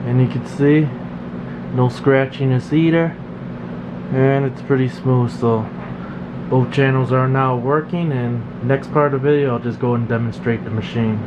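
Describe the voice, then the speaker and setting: A man's voice: medium pitch, normal speed. A man, a repair technician, giving a casual, plain-spoken demonstration in an informal explaining way. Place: indoor electronics workshop. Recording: slightly dull, noisy hum of room. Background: steady background noise.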